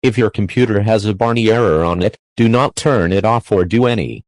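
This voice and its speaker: A man reading a scripted emergency alert in a flat, formal way. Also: lowish voice, fairly quick, no room sound.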